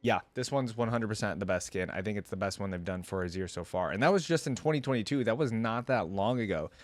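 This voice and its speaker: A man's voice: fairly low pitch, lively intonation, quick pace. A man giving informal, rambling and opinionated commentary in a casual reaction video. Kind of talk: casual reaction commentary, partly reading aloud.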